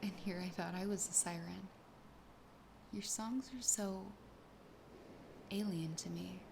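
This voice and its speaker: A woman acts out a sassy, wistful young siren in an ASMR roleplay. The voice is soft and breathy, often slipping into a whisper, gentle and close to the mic. There is clear background noise behind it. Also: fairly low pitch, steady tone, slow pace. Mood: fascinated, wistful.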